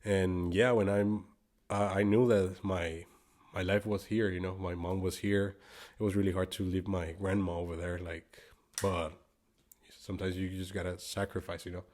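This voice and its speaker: A young man from Guatemala talks with a Spanish accent in a very low voice. He rambles along casually, his sentences filled with "like" and "bro." Now and then he drops into Spanish mid-thought. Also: level tone, normal speed, darkish tone. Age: 20s. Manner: loose and rambling, lots of "like" and "bro", slips into Spanish. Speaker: guest. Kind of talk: casual interview chat.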